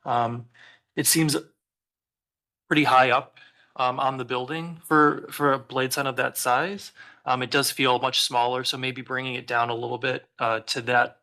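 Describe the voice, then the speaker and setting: Halting man with a gravelly voice. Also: mid-range voice, level tone, bright, forceful tone. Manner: hesitant, many fillers and restarts. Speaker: commissioner. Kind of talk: public meeting discussion.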